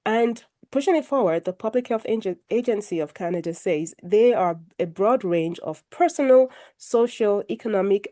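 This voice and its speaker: Slightly croaky woman hosting a video call. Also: mid-range voice. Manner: warm, formal introductions, light self-deprecating humor. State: recovering from a cold, a bit croaky. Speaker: moderator of an online health discussion. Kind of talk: hosting a video call panel, presenting slides.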